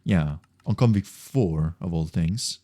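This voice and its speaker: A man's voice: low voice, slow pace, mellow, no echo. A man chatting casually on a game livestream, thinking aloud in a rambling, repetitive way. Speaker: game streamer. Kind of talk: casual livestream chat while gaming.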